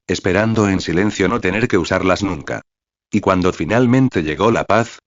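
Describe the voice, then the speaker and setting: Deep-voiced man reading a story. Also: no room sound, lo-fi sound. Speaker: narrator reading a fan novel. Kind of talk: scripted audiobook narration.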